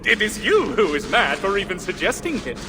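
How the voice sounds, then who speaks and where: Crazy twitchy dwarf for a game, high voice jumping all over, muttery and secretive. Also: very animated, brisk, faint music behind, exaggerated voice. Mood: defensive, gleeful. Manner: twitchy, secretive, swooping from hushed muttering to giggly protest. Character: eccentric, muttering mad dwarf who talks to crystals. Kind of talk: comic video game acting. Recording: reverberant, noisy game cutscene ambience.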